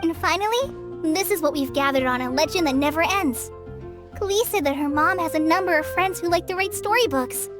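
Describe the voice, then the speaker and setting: A woman's voice: very high-pitched, normal speed. A woman playing a friendly, idealistic young girl in a video game, explaining things in an encouraging way. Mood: informative. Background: faint game music.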